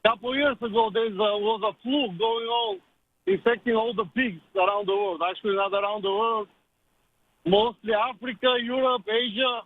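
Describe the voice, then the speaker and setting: A man with a Bulgarian accent calls in to a radio show, his voice pitched high for a man. He tells his story in halting, non-native phrasing. The audio is a dry, tinny phone line. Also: animated, dry recording. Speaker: caller.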